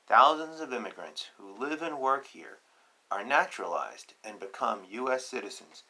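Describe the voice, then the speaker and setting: A man reading a picture book aloud to children, deliberate and emphatic in short phrases, with chatty, playful asides. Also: mid-range voice, expressive, pushed and bright, poor audio.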